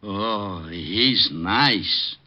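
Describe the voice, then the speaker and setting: An actor playing a dim, whiny, easily flustered police sergeant in a vintage radio detective show. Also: male voice, lowish voice, lively intonation, exaggerated voice. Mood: sheepish. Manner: slow, dopey, hesitant, blustering. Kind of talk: 1940s-50s radio comedy-detective acting. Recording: muffled old radio recording with faint hiss.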